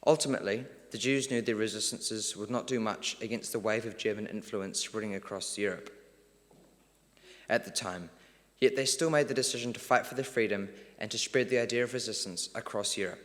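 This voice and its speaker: A man's voice: on the low side, a little fast, fairly bright tone. A teenage boy from New Zealand reading a prepared formal speech as a student prize winner. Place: large parliament hall, speaking to an event. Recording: long hall reverb.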